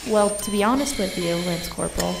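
A woman playing a calm, grave, compassionate military doctor in an audio drama. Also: mid-range voice, a little fast, creaky, distant mic, noisy background, lightly acted. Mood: calm, professional.

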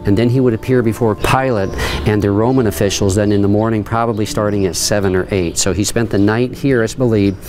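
A man's voice: fairly low pitch, fairly even intonation, fairly quick, music in the background. A middle-aged man leading a tour and teaching, reading scripture aloud and explaining it earnestly, with frequent fillers and restarts, on a stone terrace outdoors. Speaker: tour guide and Bible teacher. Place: outdoor stone terrace, then an underground rock dungeon.

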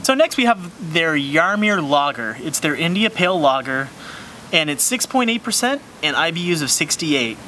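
A man's voice: on the high side, big pitch swings, normal speed, bright, forceful tone, slight background noise. Upbeat young man reviewing beer outdoors. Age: young adult. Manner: enthusiastic, descriptive, repeats words. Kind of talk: casual beer tasting review. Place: outdoor brewery patio.

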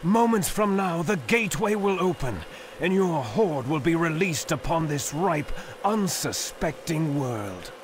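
An actor playing a commanding, sinister sorcerer in a fantasy game, declaiming slowly and grandly. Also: male voice, on the high side, lively intonation, background noise. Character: commanding sinister male sorcerer rallying an invasion. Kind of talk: fantasy game villain acting.

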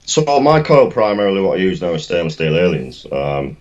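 A man's voice: sits low, sing-song, fairly quick, a little mellow, highs cut off. A man in his thirties co-hosting a casual live stream, speaking emphatically with repeated words and British slang. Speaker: co-host of a vaping live show. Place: home room, indoors.

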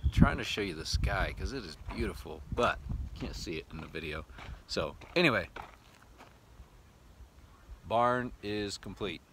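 A man's voice: deep voice, lively intonation, unhurried, pauses often, background noise. An American man in his thirties talking casually to viewers in a laid-back, plain-spoken way, like a DIY vlogger. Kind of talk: casual vlog narration to viewers.

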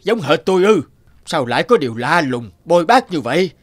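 A man's voice: on the high side, sing-song, a little fast, faint music behind. A man voicing a stingy, haughty rich businessman in a cartoon, muttering and blustering. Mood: indignant. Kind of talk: animated moral tale dubbing.

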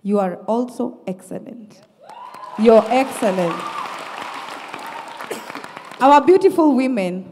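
A woman's voice: fairly high pitch, expressive, slow pace, pauses often, a crowd in the background, noisy background. A woman giving emphatic, effusive spoken feedback to performers as a judge, recorded in a reverberant auditorium. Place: large indoor theatre auditorium. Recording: echoey auditorium with long reverb.

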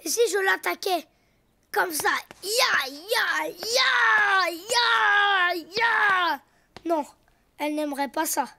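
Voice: very high voice, lively intonation, extremely slow, sharp tone, a little hiss or hum, exaggerated voice. An actor voicing an eager, boastful, playful little boy donkey in a French preschool cartoon, exclaiming in an earnest, childlike way. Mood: pondering, eager.

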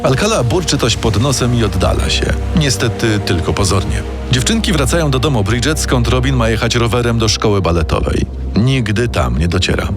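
A man's voice: medium pitch, animated, brisk, over music. A man delivering scripted, dramatic true-crime narration as the show's narrator.

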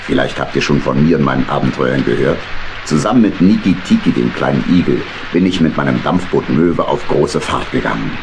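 Deep-voiced grumpy old badger uncle. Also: male voice, fairly quick, noisy background. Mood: genial. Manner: irritable, blustering scolding. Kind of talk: German children's audio drama acting.

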